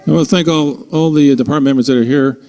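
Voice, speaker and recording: Male official addressing a meeting, dark relaxed tone, slightly high pitch, informal and halting. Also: in a large hall. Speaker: official introducing police staff at a meeting. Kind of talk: informal public remarks. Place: indoor city council meeting.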